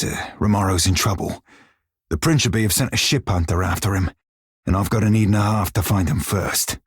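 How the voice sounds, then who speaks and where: A roguish pirate crewmate from a video game, with a husky, lowish voice. He talks in salty seafaring dialect, wry and crafty but loyal to his captain. The sound is close and dry. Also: dry recording. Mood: confiding, earnest. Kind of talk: scripted video game dialogue.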